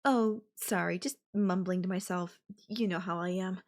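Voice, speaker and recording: A woman playing a possessive, doting young yandere girlfriend in an intimate audio roleplay, speaking coyly and affectionately with lingering pauses. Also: on the low side, steady tone, close to an everyday voice. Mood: doting, wistful.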